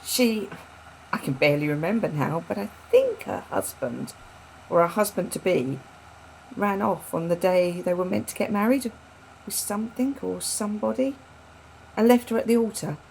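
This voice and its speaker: A woman's voice: mid-range voice, very animated, moderate pace, vocal fry, a little mellow, slight background noise, rough recording. An actress playing a frank, wry, grieving woman in a naturalistic radio drama, speaking reflectively and repeating phrases for emphasis. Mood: wistful, wry. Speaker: radio play actor.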